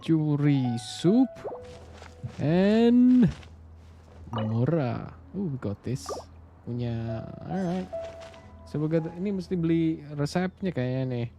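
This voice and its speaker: A man's voice: on the high side, very animated, slow pace, long pauses, dark tone. A man with an Indonesian accent chatting casually on a live game stream, switching languages and muttering to himself. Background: game music.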